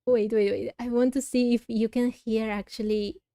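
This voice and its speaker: High-voiced woman, close dry sound. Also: fairly even intonation, normal speed, mellow, no room sound. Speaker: game streamer. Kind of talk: casual playthrough commentary.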